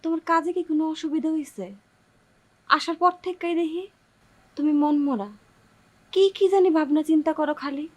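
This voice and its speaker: An actress playing a gentle, supportive young wife in a drama, speaking in a coaxing, earnest way with a rural Bangladeshi accent. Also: female voice, sits high, normal speed, pauses often, lightly acted. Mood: concerned, coaxing. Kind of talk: TV drama acting in dialect.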